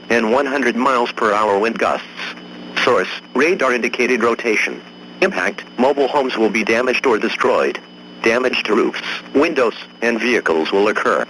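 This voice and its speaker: A man with a bright, forceful voice of middling pitch reads a formal emergency bulletin. Faint noise sits behind him, like a weather radio broadcast. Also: low-quality recording. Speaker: emergency alert announcer. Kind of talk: formal scripted warning bulletin.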